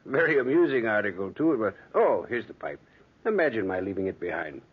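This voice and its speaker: A husky, very lively male voice a chatty, nosy neighbour. He muses conversationally as in a 1940s radio play, on a dull old recording. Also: on the low side, brisk. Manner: conversational, hesitant musing. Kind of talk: 1940s radio drama acting.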